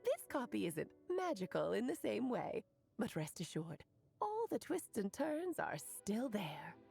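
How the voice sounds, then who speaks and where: A woman playing a playful, sentimental witch in a video game, with theatrical, expressive delivery. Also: mid-range voice, unhurried, vocal fry, slightly rough, faint music behind, full character voice.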